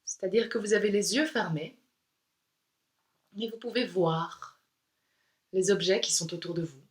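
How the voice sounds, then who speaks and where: A woman yoga instructor leading a guided meditation in a calm, soothing, unhurried way with pauses. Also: mid-range voice.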